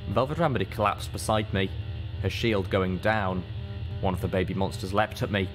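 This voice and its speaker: Casting: male audiobook narrator, deep-pitched, measured and dramatic, reading first-person fiction over faint music.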